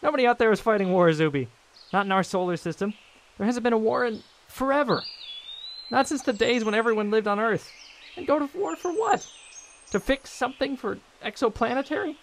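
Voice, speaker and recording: A man's voice: very high voice, animated, fairly quick, slight background noise. An actor playing an earnest, idealistic man raised in space habitats, in a science-fiction audio drama. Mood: earnest, troubled. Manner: earnest, wondering.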